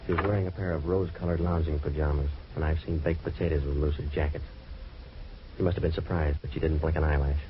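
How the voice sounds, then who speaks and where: An actor playing a wry, deadpan private eye, delivering terse wisecracks and narration in an old-time radio detective drama. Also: male voice, extremely low pitch, quick pace. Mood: wry, matter-of-fact. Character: wry, hard-boiled male private eye telling his case. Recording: dull, noisy old radio recording.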